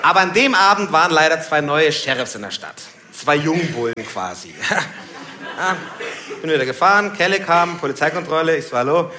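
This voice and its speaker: Laughing stand-up comedian from Munich. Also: male voice, a little high, brisk, crowd noise. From Munich, Germany. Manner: laughing, self-mocking, lively storytelling. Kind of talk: stand-up storytelling. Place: comedy stage. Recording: roomy stage recording with noise.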